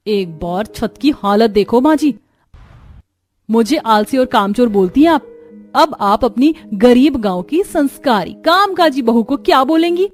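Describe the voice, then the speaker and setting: A woman's voice: on the high side, lively intonation, a little slow. Woman as a resentful, lazy young daughter-in-law. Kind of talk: cartoon moral story dialogue.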